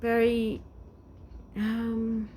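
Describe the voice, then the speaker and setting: A woman in her forties from Brazil, speaking English with a Brazilian Portuguese accent and a halting, hesitant way of searching for words, in a rambling personal vlog recorded at home. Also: fairly high pitch, monotone, mellow, slight background noise. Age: 40s. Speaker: vlogger. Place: home, indoors.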